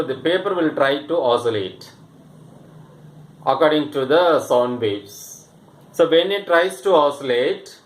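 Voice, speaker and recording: Slow-talking male teacher in an echoey room. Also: on the high side. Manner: halting, pausing, repeats phrases. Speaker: physics teacher. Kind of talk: explanatory demonstration lesson. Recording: echoey room with faint background noise.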